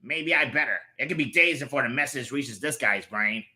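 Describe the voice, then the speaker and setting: A man's voice: medium pitch, a little fast, sharp tone, voice pushed up. A man voicing a pompous, impatient, scheming comic-book character with a brash, sarcastic, bossy manner. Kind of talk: comic book read aloud in character voices.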